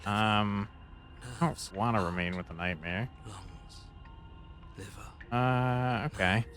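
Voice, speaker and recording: A man's voice: on the low side, takes it slowly, fairly bright tone. A man giving casual let's play commentary as a game streamer, with a wry, joking manner. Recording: faint game music behind. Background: video game music and dialogue.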